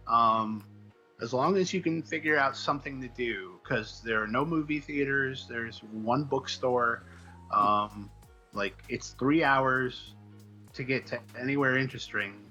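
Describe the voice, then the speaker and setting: A man with a very bright, forceful voice talks as a guest in a casual interview. He tells stories in a rambling, wry way, over a faint bed of music. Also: medium pitch, unhurried, slightly rough, poor audio. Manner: rambling, wry, informal storytelling. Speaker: guest comics writer.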